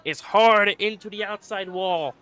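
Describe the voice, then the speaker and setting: A man commentating a sim race play-by-play. He sounds a little stuffy from being sick, and he gets excitable at restarts and wrecks. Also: high-pitched, unhurried, clear, resonant tone, rough recording.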